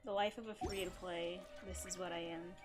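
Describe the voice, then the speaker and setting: A woman's voice: on the low side, fairly even intonation, fairly quick. A woman live streaming a video game, chatting casually to viewers and muttering asides to herself as she plays. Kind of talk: casual chat while gaming. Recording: loud game music behind the voice. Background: video game music and sounds.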